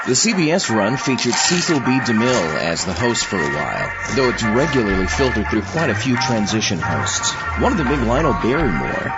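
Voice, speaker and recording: A man hosting a podcast, moving between prepared narration and casual asides. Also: lowish voice. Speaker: podcast host. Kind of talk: scripted fact segment turning to casual asides. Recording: muffled, with loud music behind. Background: loud music.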